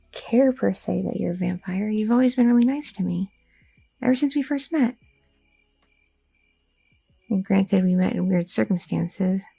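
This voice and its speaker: A woman's voice: mid-range voice, gravelly, dark tone, slightly rough, rough recording. A woman playing an anxious, devoted young goth girlfriend in an ASMR audio roleplay, hesitant and rambling, often repeating herself. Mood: curious, reassuring. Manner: hesitant, stammering, self-interrupting, repeating words.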